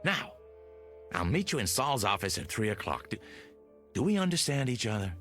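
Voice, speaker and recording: An actor playing a loyal, cajoling talent agent in a mid-century television drama, emphatic and placating. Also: male voice, on the low side, big pitch swings, normal speed, fairly bright tone. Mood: urging, upbeat. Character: loyal, coaxing male Hollywood talent agent. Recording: vintage TV soundtrack with music.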